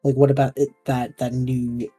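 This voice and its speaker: A man hosting a casual podcast interview, thinking aloud in a halting way and rephrasing his questions as he goes. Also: medium pitch, flat delivery, dark tone, faint music behind. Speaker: podcast host interviewing a guest. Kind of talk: casual podcast chat about tabletop roleplaying shows.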